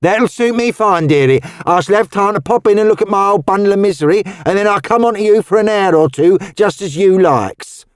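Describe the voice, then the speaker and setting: A man's voice: high-pitched, brisk, hardly any pauses, dry recording, studio quality, pitched above the performer's own voice, close to an everyday voice. A man voicing a cheerful, wizened little old Cockney charwoman who talks in a chatty, homely, motherly way.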